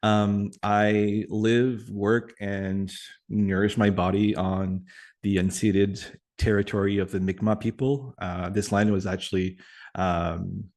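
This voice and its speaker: A man from Atlantic Canada whose first language is French, talking as a guest on a video call, reflective and pausing often with fillers. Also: lowish voice, steady tone, darkish tone. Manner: thoughtful, many pauses and fillers. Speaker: guest, community facilitator. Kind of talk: reflective interview conversation.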